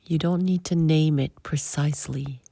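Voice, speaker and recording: A woman leading a guided meditation in soft, breathy, short phrases with long pauses, often trailing toward a whisper. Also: deep voice, fairly even intonation, dry recording, clean studio sound.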